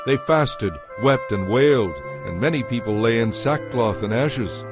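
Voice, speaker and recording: A man's voice: mid-range voice, unhurried. A man narrating scripture as a scripted, dramatized story, with a solemn storytelling delivery. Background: music.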